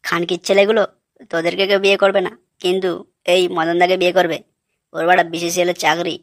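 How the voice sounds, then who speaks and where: A woman's voice: on the low side, flat delivery, quick pace, dry recording, clean studio sound, natural voice. A woman playing a blunt, persuasive male friend in a comic cartoon, with coarse, matter-of-fact talk. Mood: scolding.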